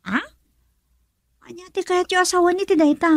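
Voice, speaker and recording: An actress with an Ilocano accent playing a spirited, outspoken young daughter and student in a radio drama. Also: female voice, very high-pitched, dry recording, studio quality. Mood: puzzled. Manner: expressive, quick to protest.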